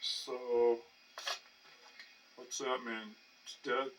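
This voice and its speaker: An older man giving casual, rambling commentary as he tinkers, halting and muttering to himself. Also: mid-range voice, level tone, a bit bright. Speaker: hobbyist narrating his own projects. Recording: distant mic with faint background noise.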